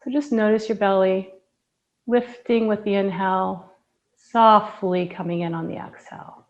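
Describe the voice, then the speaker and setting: A woman leads a breathing meditation as a yoga teacher, her voice soft and soothing. She speaks very slowly and leaves long pauses between phrases. The recording sounds slightly dull and lo-fi, and her voice sits at a middle pitch. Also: fairly even intonation.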